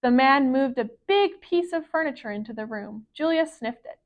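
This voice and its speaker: A woman reading a children's picture book aloud as a library storyteller, with expressive, careful phrasing. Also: high voice, moderate pace, lo-fi sound.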